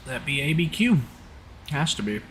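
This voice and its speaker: A man's voice: a little high, moderate pace. A man from the southern United States chatting casually and teasingly while live-streaming a flight simulator. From southern United States (Oklahoma). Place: voice chat on a game stream. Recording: steady background noise.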